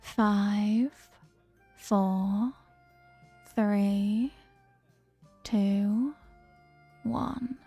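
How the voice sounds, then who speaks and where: A woman's voice: mid-range voice, fairly even intonation, very slow, long pauses, warm tone, soft background music. A woman playing a flirty, playful stranger at a New Year's party in an intimate audio roleplay, with a coy, teasing, murmuring delivery. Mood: tender, eager.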